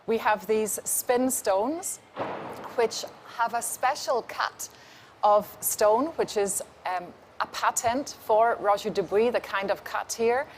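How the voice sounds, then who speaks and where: A woman presenting a watch on a show, talking in a deliberate way with some fillers. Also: on the high side, a little hiss or hum. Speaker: brand presenter. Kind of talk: product presentation.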